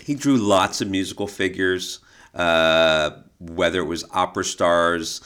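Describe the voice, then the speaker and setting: Low-voiced man explaining on a podcast. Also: a little slow, a bit bright, clear, resonant tone. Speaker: podcast host and expert guide. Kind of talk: informal explanatory podcast conversation about history.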